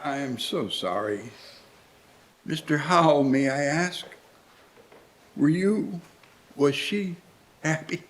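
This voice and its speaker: A man acts an old miser in a live radio play. The voice sits a little high, with halting, stammered starts and formal, old-fashioned wording. The character is newly humbled and eager. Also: expressive. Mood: gentle, hesitant. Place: live community theatre stage.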